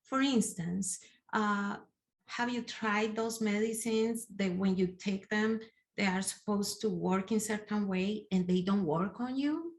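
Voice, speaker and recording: A middle-aged woman speaks with a Colombian Spanish accent, taking her time over each phrase. Her voice sits at a medium pitch, and she explains things in an earnest, measured way. She is recorded on a webcam in a home living room. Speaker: engagement specialist. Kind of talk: recorded video presentation.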